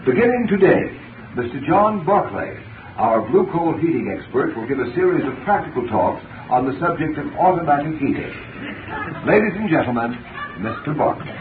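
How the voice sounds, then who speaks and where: A man's voice: on the high side, sing-song, normal speed. A man announcing a 1930s radio show in a formal, polished scripted style. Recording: tinny, noisy 1930s radio recording.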